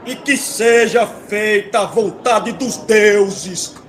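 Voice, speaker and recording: A man's voice: high voice, extremely slow, fairly bright tone, a little hiss or hum, full character voice. An actor playing a stern, fatalistic father king in a comedic mock-epic audio drama, declaiming with authority and slipping into colloquial speech. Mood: commanding.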